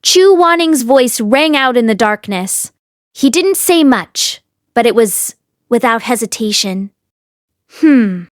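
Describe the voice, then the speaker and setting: High-voiced woman reading an audiobook. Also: lively intonation, measured pace, dry recording, studio quality. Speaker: audiobook reader and host. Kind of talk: expressive scripted narration.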